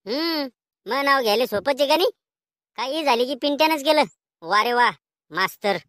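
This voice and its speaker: High-pitched cheeky village schoolboy acted by a woman. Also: animated, normal speed, fairly bright tone, dry recording, studio quality. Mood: defensive. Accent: rural Maharashtra. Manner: taunting, argumentative, slangy. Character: cheeky, quarrelsome village schoolboy, quick to blame others. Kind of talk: comedy cartoon dialogue.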